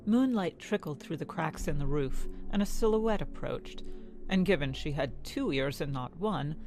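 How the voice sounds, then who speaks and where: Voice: mid-range voice, lively intonation, normal speed, a little mellow. A narrator reading a scripted fantasy story in the third person. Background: music.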